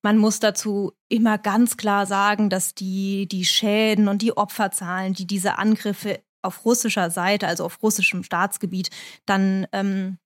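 A woman speaking a language that is not her first, answering interview questions in a measured, explanatory way with frequent hesitations. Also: mid-range voice, flat delivery. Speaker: correspondent in Moscow.

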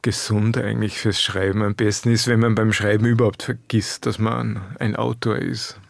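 A middle-aged Austrian man with a low, gravelly voice speaks in a dry, laconic way, chuckling now and then. The sound is close and dry, with no room around it. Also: level tone, moderate pace, dry recording. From Austrian Alps. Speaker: author guest. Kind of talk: relaxed interview talk.

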